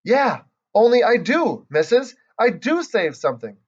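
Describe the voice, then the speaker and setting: A man's voice: very high voice, expressive, moderate pace, lo-fi sound, voice pushed up. Man doing a bubbly bossy little girl. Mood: excited. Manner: bubbly, cheeky, ungrammatical kid talk.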